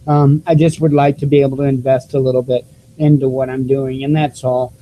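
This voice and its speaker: A man with a squeaky voice hosting his own YouTube news channel, talking casually to viewers through a cheap desktop microphone with many restarts and fillers. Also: flat delivery, a little fast, warm tone, slight background noise, low-quality recording. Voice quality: squeaky voice, self-described. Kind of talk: casual vlog talk to viewers.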